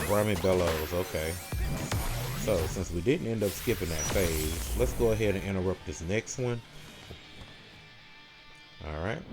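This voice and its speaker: A man with a deep, low-pitched voice talks through gameplay as a guide. His delivery is casual and procedural, calling out each move as he makes it. Prominent game music plays loudly behind him. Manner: casual, step-by-step play-by-play. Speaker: gameplay guide creator and commentator. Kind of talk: live gameplay commentary, walkthrough.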